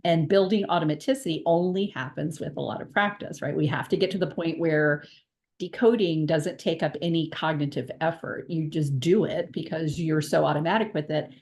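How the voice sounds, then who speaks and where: A woman's voice: low-pitched, a little fast, a little mellow. A woman academic on a panel over a video call, earnest and insistent, repeating words for emphasis. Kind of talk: panel discussion.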